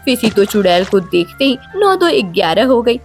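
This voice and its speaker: A woman's voice: on the high side, sing-song, normal speed, soft background music. A woman narrating a scripted children's story as a storyteller.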